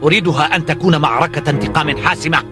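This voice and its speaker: A man's voice: fairly high pitch, racing, close to an everyday voice. A man playing an impetuous, vengeful young warlord in a cartoon dub, declaiming with fervour. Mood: fierce, eager. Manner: fervent, declamatory. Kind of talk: dubbed cartoon acting. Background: faint music.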